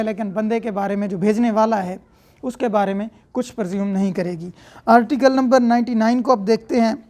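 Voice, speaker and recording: A woman's voice: on the high side, a little mellow. A woman with a Pakistani accent lecturing as a law teacher, explaining step by step and repeating points in other words. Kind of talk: explanatory lecture, reading English statute and explaining it in Urdu.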